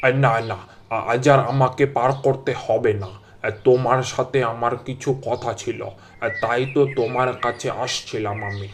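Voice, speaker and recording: A man playing a genial village gentleman and fish trader in a cartoon, chatty and a little patronising. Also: mid-range voice, steady tone, normal speed, lightly acted. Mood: businesslike. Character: genial village gentleman, a fish-depot owner and ferry passenger. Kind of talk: Bengali moral-tale cartoon dubbing.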